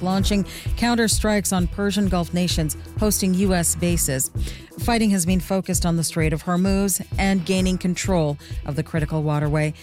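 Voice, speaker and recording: A woman's voice: on the low side, darkish tone. A woman reading scripted national radio news as the anchor. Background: prominent news theme music.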